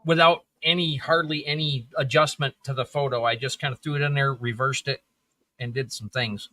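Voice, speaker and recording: A man's voice: mid-range voice, fairly even intonation, normal speed, sharp tone. A man in his forties chatting on a livestream, with dry jokes. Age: 40s. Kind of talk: casual livestream chat.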